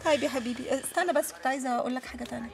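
A woman's voice: high voice, very fast. A woman playing an exasperated, scheming Egyptian mother and wife in a TV comedy-drama, with a dramatic, complaining manner. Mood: coaxing. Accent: Egyptian Arabic. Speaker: actress. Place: furnished home interior, film set.

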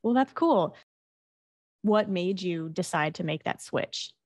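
A woman's voice: lowish voice, animated, takes it slowly, a little mellow. A young woman hosting a casual interview from her home office, with friendly backchannels and light laughs. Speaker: host. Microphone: desk microphone.

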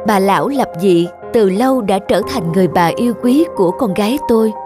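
A woman's voice: mid-range voice, lively intonation, fairly quick, close to an everyday voice. Mother-narrator, female actor: thoughtful storybook delivery for cartoon, music bed. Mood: reflective. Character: reflective mother narrating a moral tale. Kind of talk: scripted narration.

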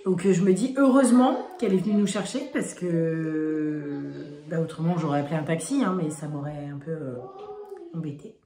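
A woman in her forties from France, hoarse today, chatting casually to a phone camera in her kitchen with many fillers and restarts. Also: low voice, normal speed, warm tone. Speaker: family vlogger. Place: home kitchen, indoors. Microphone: phone camera at arm's length. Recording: echoey room with family noise.

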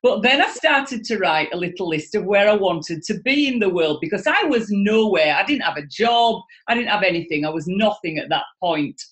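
A middle-aged woman with a broad Yorkshire accent talks with lively enthusiasm. She speaks over a webcam from a room at home, in a mid-range voice. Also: medium pitch, normal speed, few pauses. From Yorkshire, England. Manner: enthusiastic, expressive, gestures a lot. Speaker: guest speaker. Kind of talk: animated motivational talk. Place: home room, indoors, video call. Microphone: video call webcam.

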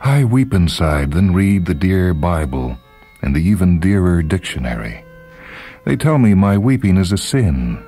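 A man's voice: deep voice, sing-song, measured pace, dark tone, pitched above the performer's own voice, full character voice. A man voicing a lonely, pious spinster of fifty for a radio story reading, declamatory and full of self-pity. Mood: mournful, self-pitying. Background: background music.

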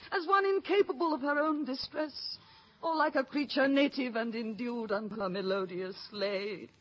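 An actress playing a sorrowful, dignified queen in 1950s radio Shakespeare. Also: sits high. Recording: dull vintage radio recording.

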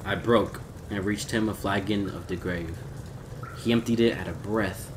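A man reading a gothic horror story aloud in a measured, theatrical way. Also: fairly low pitch, steady tone, background noise. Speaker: audiobook reader.

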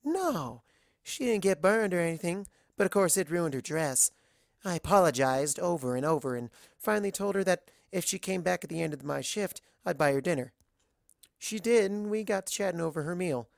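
Narrator voicing a higher-pitched, friendly waitress mare. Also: lively intonation, moderate pace, vocal fry, no room sound, studio quality. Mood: reassuring, chatty. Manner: gentle, chatty, encouraging.

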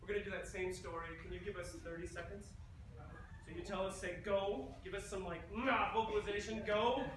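A man's voice: sits high, steady tone, a little fast. A man hosting an improv comedy show, chatting casually and projecting to a live audience. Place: large theatre stage, indoors. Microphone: far camera. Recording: echoey hall from far off, noisy audience.

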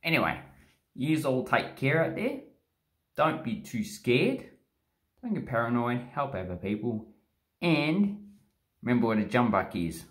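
A man's voice: mid-range voice, sing-song, unhurried, pauses often, fairly bright tone. A man in his forties with an Australian accent, cheekily explaining slang into a phone held close in a small bathroom. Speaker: slang vlogger. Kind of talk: casual explainer vlog. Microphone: phone camera held close.

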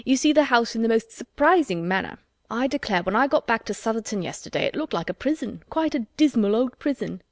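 A woman voicing an eager, rambling young gentleman who gushes emphatically. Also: fairly high pitch, expressive, brisk, no room sound, clean studio sound, pitched above the performer's own voice. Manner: gushing, emphatic.

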